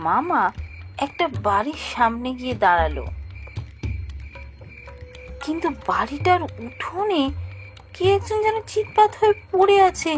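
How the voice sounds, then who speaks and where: A woman voicing a curious, stubborn child who narrates a spooky cartoon adventure in a suspenseful, expressive way. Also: high-pitched. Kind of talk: dramatic scripted ghost-story narration for animation.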